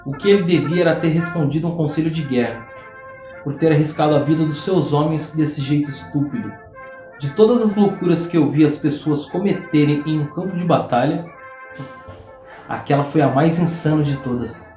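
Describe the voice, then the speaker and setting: Brazilian guy acting pompous old army major, bragging, kinda high voice, echoey room. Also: normal speed, warm tone, faint music behind, lightly acted. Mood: haughty. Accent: Brazilian Portuguese. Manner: boastful, lofty, dismissive. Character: pompous vain older Bulgarian major, a father. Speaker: acting student. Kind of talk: mock-documentary stage acting.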